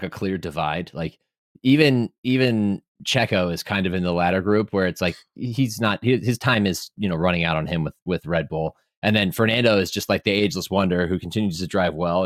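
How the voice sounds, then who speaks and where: A man's voice: deep voice, no room sound, clean studio sound. A man co-hosting a motorsport podcast, chatting casually and joking with lots of laughs. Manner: animated, laughs often, jokey asides. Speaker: podcast co-host. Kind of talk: casual chat.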